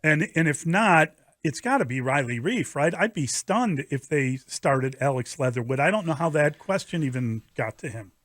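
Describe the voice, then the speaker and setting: A man's voice: on the high side, expressive, normal speed, hardly any pauses, a bit bright, no echo, clean studio sound. A man co-hosting sports talk radio, asking conversational questions and adding his own opinion.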